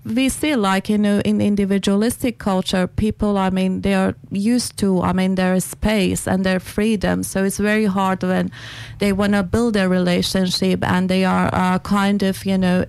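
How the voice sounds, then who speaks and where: A woman from Iran with a Persian accent, a relationship coach speaking in a panel discussion, thoughtful and halting with many fillers. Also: medium pitch, level tone, creaky, a little mellow, clean tone, no room sound.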